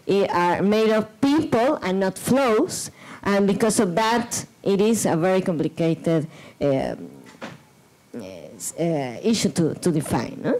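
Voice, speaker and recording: Woman panelist, middle pitch, reading a prepared paper slowly, halting restarts, desk mic in a lecture hall. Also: a little mellow. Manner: measured reading with hesitant fillers and restarts. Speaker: conference panelist. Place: large lecture room. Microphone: desk microphone.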